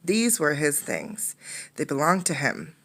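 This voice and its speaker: Low-voiced woman reading to children. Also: sing-song, unhurried, fairly bright tone. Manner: instructive, poses questions to young listeners. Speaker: teacher reading a picture book aloud. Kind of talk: interactive storybook read-aloud for children.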